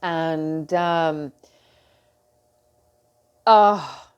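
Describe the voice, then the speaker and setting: A middle-aged woman with a British accent talks in a slightly low voice. She speaks casually and with animation, filling her speech with ums and friendly asides. She sounds like a coach addressing her followers. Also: drawn-out words, a little mellow, smooth, lo-fi sound. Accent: British English. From Britain. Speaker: coach and host. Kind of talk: casual talk to camera.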